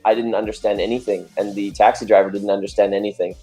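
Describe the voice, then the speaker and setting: A male dancer chatting casually in an interview, telling animated stories and laughing often. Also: lowish voice, fast talker, over music.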